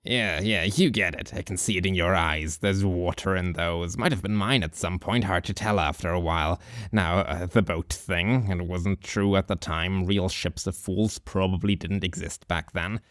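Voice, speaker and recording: Guy doing a low-voiced drunk old hobo philosopher, rambling slow, dry close sound. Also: a bit bright, no room sound. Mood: musing. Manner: slow, musing philosophical monologue with chuckles.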